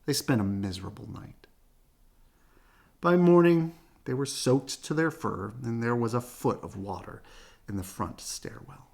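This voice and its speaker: A man narrates a children's picture book as a read-aloud. His voice has a creaky, gravelly edge and moves up and down expressively through the sentences. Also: mid-range voice, lively intonation, measured pace, darkish tone. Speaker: children's storybook reader.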